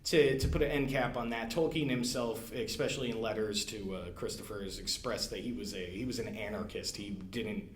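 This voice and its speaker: A man in a casual podcast discussion, speaking in halting, self-correcting phrases. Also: on the low side, steady tone, a little hiss or hum. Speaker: podcast co-host. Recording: roomy, echoey indoor sound.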